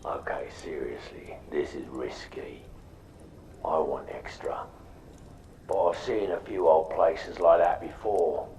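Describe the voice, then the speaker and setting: Wary mercenary guide, video game. Rock-bottom gravelly voice, unhurried, slightly distant and echoing. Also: male voice, very deep voice, fairly even intonation, mellow. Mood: reluctant. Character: cautious, mercenary underworld male guide, gruff and unhurried. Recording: echoey, distant, faint ambient noise.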